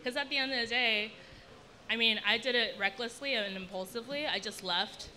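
Casting: young woman, panelist type, bright voice, blunt and irreverent, casual storyteller. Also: on the high side, a little fast. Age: young adult. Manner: candid, irreverent, sweary, breaks into laughter. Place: indoor event space with brick wall. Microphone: handheld event microphone.

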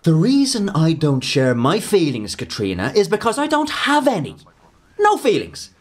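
A man's voice: a little high, huge pitch swings, normal speed. An Irish man playing a sullen, contemptuous slacker in his thirties in a radio drama, sardonic and evasive. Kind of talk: naturalistic radio drama acting.

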